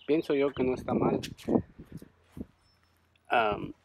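A man, chatting casually as he does a repair, relaxed and self-deprecating, with halting restarts. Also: mid-range voice, gravelly, heavy background noise. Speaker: trucker vlogger. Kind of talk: casual DIY commentary. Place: outdoors in a driveway by a garage.

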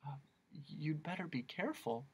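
Man doing a soft timid boy. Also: a little high, big pitch swings, moderate pace, slightly rough, voice pushed up, heavily acted. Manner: soft and hesitant. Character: timid polite young boy.

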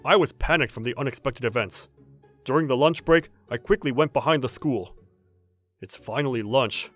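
An actor playing a polite, flustered teenage schoolboy in a manga dub, earnest and prone to stammering. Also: male voice, medium pitch, normal speed, fairly bright tone, soft background music, natural voice. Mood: musing. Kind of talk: manga dub voice acting, scripted.

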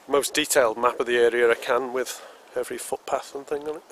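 A man's voice: medium pitch, fairly even intonation, fast talker, a bit bright. A British man in his forties casually explaining outdoor camp cooking step by step, recorded outdoors in woodland on a camera mic. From United Kingdom. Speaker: outdoor vlogger. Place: woodland picnic table outdoors. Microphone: camera mic, handheld then on a tripod. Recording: outdoor camera audio with clear background noise. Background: open outdoor air in woodland.

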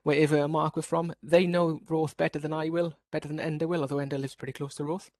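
A man's voice: on the high side, level tone, fast talker, a little mellow, no room sound, clean studio sound. A man from Wales giving an online workshop presentation as a researcher, explaining steadily with occasional restarts over a video call.

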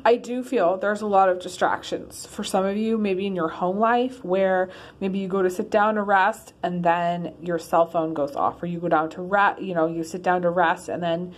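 A woman's voice: lowish voice, steady tone, moderate pace, few pauses. A woman giving a casual one-card tarot reading to her followers, rambling with many ums and restarts. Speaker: tarot reader. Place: in her car.

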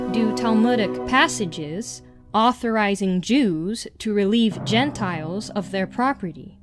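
A woman reading an article aloud in a measured, deliberate way with pauses. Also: medium pitch. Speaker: reader.

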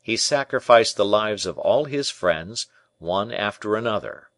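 A man reading a storybook part as a courtly, devoted conspirator, formal, deferential and persuasive in measured rhetoric. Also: on the low side, lively intonation, a bit bright, dry recording. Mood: grave, emphatic.

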